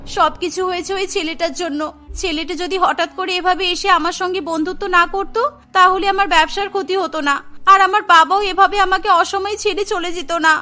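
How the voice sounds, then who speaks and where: A woman's voice: extremely high pitch, fairly even intonation, normal speed, pushed and bright, faint music behind. A woman voicing a bitter, grieving young female food seller in a cartoon, speaking in a reproachful, emphatic way. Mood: bitter grief.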